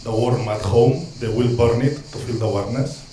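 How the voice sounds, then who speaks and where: A man's voice: mid-range voice, normal speed, echoey room, slight background noise, rough recording. A man from Catalonia speaking English with a Catalan-Spanish accent, giving a hesitant, earnest talk as a street social educator from notes. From Catalonia, Spain. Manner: hesitant, many ums and restarts, warm asides.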